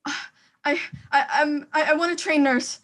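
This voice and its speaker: A woman's voice: high-pitched, fairly even intonation, a little slow, fairly bright tone. A woman playing a panicking, demanding, self-pitying invalid wife in an old-time radio thriller, fretful and stammering. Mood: fretful, indignant.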